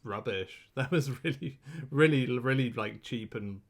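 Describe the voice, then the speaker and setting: A man co-hosting a podcast in casual chat, relaxed and wry, often hesitating and restarting. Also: medium pitch, measured pace. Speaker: podcast co-host.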